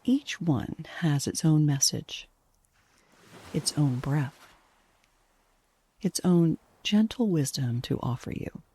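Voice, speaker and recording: A woman with a soft, breathy, hushed voice gently and soothingly narrating a guided sleep meditation, unhurried throughout. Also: low voice, expressive.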